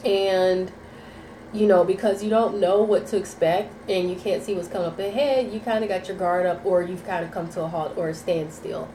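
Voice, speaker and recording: A woman's voice: on the low side, steady tone, moderate pace, mellow, echoey room, slight background noise, rough recording. A woman giving a casual, rambling tarot reading. She sounds nasal from sinus congestion and keeps checking in with "okay?" and "you know". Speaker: tarot reader.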